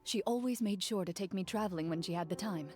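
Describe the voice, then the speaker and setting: A woman playing a composed, stoic young fighter in a game, speaking in calm, matter-of-fact, formal phrases. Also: medium pitch, fast, over loud music, close to an everyday voice. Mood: reflective. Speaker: game character voice actor. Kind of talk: scripted character dialogue, storytelling.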